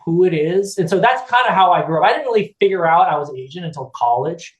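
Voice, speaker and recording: A man's voice: high voice, animated, fast talker. Rambling middle-aged man in an echoey room. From United States (California). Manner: rambling, many restarts and fillers, laughs easily. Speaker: podcast guest. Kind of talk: casual chat.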